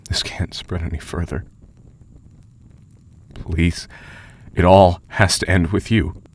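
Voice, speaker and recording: A man playing a guilt-ridden, haunted character who has given up hope, speaking in a halting, pleading way in an audio drama. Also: low-pitched, little pitch movement, a little hiss or hum. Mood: guilty, despairing. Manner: halting, pleading, lapsing into whispers. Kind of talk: dramatic audio fiction acting.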